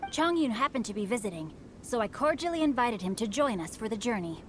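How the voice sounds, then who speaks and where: A woman's voice: fairly high pitch, very animated, brisk, very noisy. A woman voicing a courteous, self-assured, bookish young nobleman in a video game, speaking formally and eloquently with a theatrical touch.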